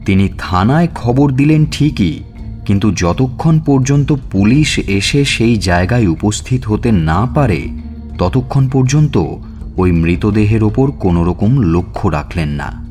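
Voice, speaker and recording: A man narrating a scripted suspense story in a measured, expressive, dramatic way. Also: on the low side. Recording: music bed under narration.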